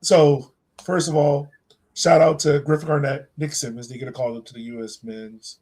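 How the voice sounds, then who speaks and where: A man's voice: on the high side, level tone, moderate pace, a little mellow, husky. A man hosting a sports fan podcast, chatting casually in an informal, slangy, enthusiastic way. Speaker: podcast host.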